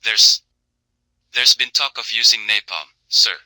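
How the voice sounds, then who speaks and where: A man's voice: medium pitch, unhurried, bright, forceful tone, no echo, low-quality recording. A man playing a dutiful military aide giving serious, deferential briefings in a scripted audio drama. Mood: grave, hesitant. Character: dutiful, sober male military aide reporting.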